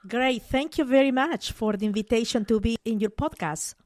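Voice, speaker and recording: Middle-aged peruvian woman, measured explainer on a podcast, dry close sound. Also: fairly high pitch, darkish tone, no echo. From Peru. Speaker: guest author. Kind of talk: podcast interview.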